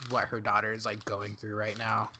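A man's voice: on the low side, monotone, moderate pace, bright, forceful tone, slight background noise. A young man from Dallas, co-hosting a podcast, who talks casually with lots of "like" fillers and laughs often. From Dallas, Texas. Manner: chatty storyteller, laughs often, many "like" fillers. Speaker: podcast co-host. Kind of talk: casual chat.

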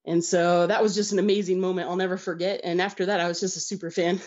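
A woman's voice: lowish voice, flat delivery, fast, a little mellow, dull audio. An American woman, a guest telling stories in an enthusiastic, casual way and laughing easily. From United States. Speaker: podcast guest. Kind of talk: casual interview chat.

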